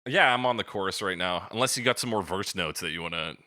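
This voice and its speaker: A man's voice: sits low, quick pace, sharp tone, no echo, clean studio sound. A man co-hosting a podcast, talking casually about music with informal, joking banter. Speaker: podcast co-host. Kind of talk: casual music discussion chat.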